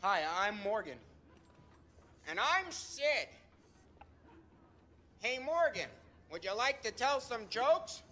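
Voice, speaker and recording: A man's voice: very high-pitched, big pitch swings, takes it slowly, pauses often, pushed and bright, a little hiss or hum, exaggerated voice. An actor playing an awkward teenage boy ventriloquist doing a corny, stagey dummy act in a TV drama. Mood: corny showmanship. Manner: stagey, corny joke delivery.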